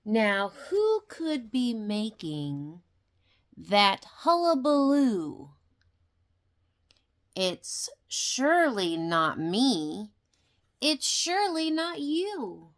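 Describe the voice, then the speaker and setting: An older woman reading a children's picture book aloud in an expressive, deliberate manner, with dramatic questions and sound words. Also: a little high. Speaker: grandmother reading a storybook aloud.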